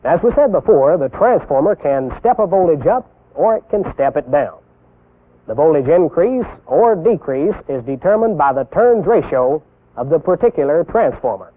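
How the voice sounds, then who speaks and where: A young American man giving scripted instructional narration as a military instructor, deliberate and didactic, posing rhetorical questions. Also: a little high, sing-song. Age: young adult. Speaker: military instructor presenting a training film. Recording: tinny band-limited 1960s film soundtrack.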